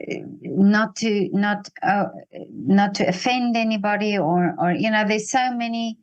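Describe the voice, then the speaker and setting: A woman in her sixties with a South African accent, talking reflectively and haltingly as an interviewer, often breaking off to search for words. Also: medium pitch. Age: 60s. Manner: hesitant, searching for words with many broken-off phrases. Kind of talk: reflective conversation.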